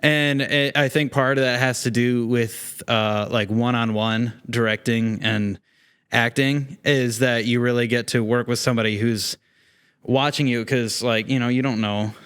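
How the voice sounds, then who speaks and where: A man's voice: mid-range voice, steady tone, unhurried, fairly bright tone, clean tone. A man who is a theatre actor, talking casually as an interview guest in a rambling way, often acting out bits of imagined dialogue.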